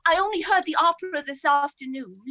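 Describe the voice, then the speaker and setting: A woman's voice: high-pitched, fast talker, sharp tone. An actress playing a cheerful, chatty village woman, a playful amateur singer in a radio comedy. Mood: jolly. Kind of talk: radio comedy drama acting.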